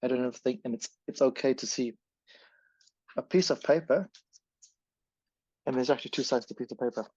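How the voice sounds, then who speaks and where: A man's voice: mid-range voice, a little fast, long pauses, darkish tone, slightly rough, no echo. A man hosting a podcast, talking in a rambling, self-correcting way. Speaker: podcast host. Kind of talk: casual podcast chat. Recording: dry, dull lo-fi sound.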